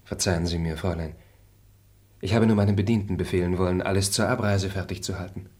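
Brief: a man as a low-voiced, formal, moralizing gentleman, radio play. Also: fairly even intonation, fast, close to an everyday voice. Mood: lofty. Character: noble, high-minded male traveller. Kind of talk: radio drama acting.

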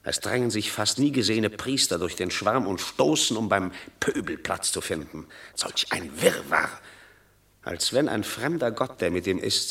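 An actor playing a scornful, envious Roman tribune in a classical radio drama, sardonic and rhetorical. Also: male voice, on the low side, a bit bright.

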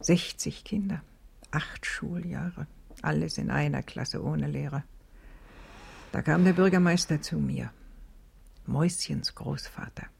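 An older actress playing a reflective, kindly old village schoolteacher in a German radio drama, with a gravelly, husky voice. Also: female voice, low voice, takes it slowly, pauses often, a little mellow, exaggerated voice. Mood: sorrowful, reflective.

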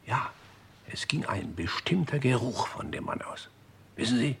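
An actor playing an older, formal manservant in a German radio crime drama, deferential and deliberate, with a husky, gravelly voice. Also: male voice, mid-range voice, big pitch swings, slight background noise. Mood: earnest, uneasy. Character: dutiful, formal old-school manservant of a murdered gentleman.

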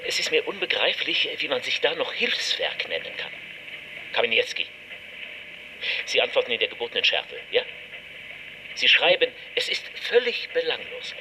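An actor playing an irate, blustering office chief in a radio drama, ranting emphatically and imperiously. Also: male voice, mid-range voice, wildly swooping pitch, moderate pace, pauses often. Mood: outraged. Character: irate, blustering male head of a compensation office. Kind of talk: German radio drama acting. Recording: long hall reverb, clear background noise.

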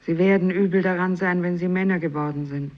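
Eine Schauspielerin spielt in einem literarischen Hörspiel eine düstere, fatalistische Fischersfrau. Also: female voice, fairly low pitch, steady tone, brisk, lightly acted. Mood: fatalistic. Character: sombre, fatalistic fisherwoman foretelling misery. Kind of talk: 1950s literary radio play acting. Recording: dull, lo-fi radio recording.

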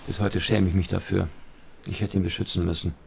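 Radio play: guilt-laden man, lowish voice, confessing in brief measured lines. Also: little pitch movement, gravelly, husky, full character voice. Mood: remorseful. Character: remorseful man recalling a friend he abandoned.

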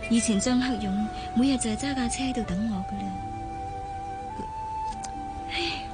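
A woman's voice: on the high side, normal speed, lightly acted. An actress playing a young working woman in a Cantonese radio drama, wistful, polite and bashful, with a Hong Kong accent. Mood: wistful, torn. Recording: radio drama with music underneath. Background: background music.

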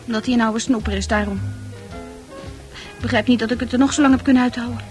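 A woman's voice: on the high side, fairly even intonation, fast talker, darkish tone, soft background music, close to an everyday voice. An actress in a Dutch radio play playing a candid, troubled young woman who talks freely and confides with emphasis. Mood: exasperated.

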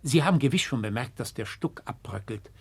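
A man's voice: medium pitch, lively intonation, fairly quick, gravelly, a bit bright, breathy edge, dry recording, full character voice. A man playing a deferential but hard-nosed estate steward in a 1960s German radio play, formal and officious in manner.

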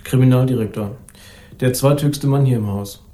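An actor playing an affable but guarded young police officer in a crime radio play. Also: male voice, mid-range voice, normal speed, dark tone, a little hiss or hum, close to an everyday voice. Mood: businesslike. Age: young adult. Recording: roomy studio office acoustic.

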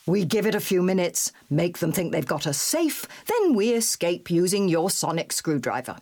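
A reader acting out an animated, indignant eccentric adventurer. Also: male voice, high voice, normal speed, vocal fry, exaggerated voice. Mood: indignant, blustering. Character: eccentric, exasperated alien male adventurer, booming and indignant. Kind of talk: dramatised audiobook reading.

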